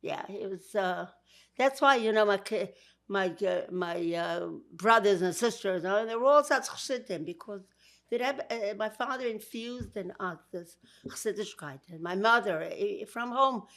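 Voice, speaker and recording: A woman's voice: mid-range voice, moderate pace. Older woman with a Brooklyn accent. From Georgia. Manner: warm, with frequent laughs and restarts. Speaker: guest. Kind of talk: interview, memories.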